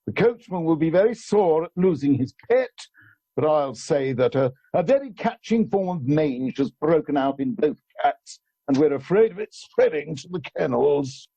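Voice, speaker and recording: A brisk gentleman of the country-house set, acted by a man for a radio play. His voice is high, rough-edged and very lively, with clipped, decisive phrasing. The sound is slightly dull, like a video call. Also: dry recording, full character voice. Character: brisk upper-class English husband, ruthless country-house host.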